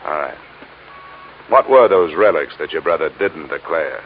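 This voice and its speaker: A low-voiced, blunt radio detective, American. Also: male voice, normal speed, slight background noise. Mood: brisk, probing. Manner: clipped, blunt, deadpan narration. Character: brisk, blunt hard-boiled male insurance investigator narrating his case. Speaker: investigator. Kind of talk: 1940s-50s radio detective acting. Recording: tinny band-limited old radio, music behind.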